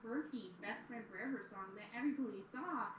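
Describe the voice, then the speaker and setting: Eager young pony student woman's performance: high, earnest, a little awkward, audio drama heard from some distance. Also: fairly quick, natural voice. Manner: earnest, inquisitive, slightly awkward. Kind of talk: fan audio drama acting. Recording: echoey, distant, faintly noisy playback.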